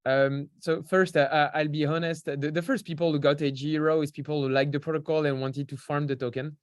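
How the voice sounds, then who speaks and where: A man's voice: a little high, flat delivery, brisk, no room sound, clean studio sound. A young man from France with a French accent, a podcast guest explaining things in an easygoing way, with many fillers and restarts. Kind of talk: casual interview talk.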